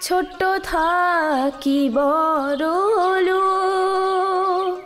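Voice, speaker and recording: A very high, drawn-out voice of a shy young village bride, played by a woman, singing a plaintive folk lament in a rural radio play. Her lines stretch out unhurried over soft music behind her. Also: fairly even intonation, heavily acted. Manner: sings slow plaintive folk song. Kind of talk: rural audio drama acting, folk song.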